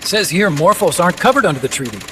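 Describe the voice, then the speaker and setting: A man's voice: high-pitched, expressive, quick pace, noisy background. A man voicing an earnest, talkative robotics engineer in a video game, explaining things in precise technical terms. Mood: curious, wary. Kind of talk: game voice acting, expository dialogue. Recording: music behind the voice.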